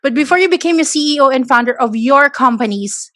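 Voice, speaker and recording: Filipino woman podcast host, Taglish interviewer, slightly high voice, dry booth sound. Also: lively intonation, fast, sharp tone, dry recording. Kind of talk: interview, mixing Tagalog and English.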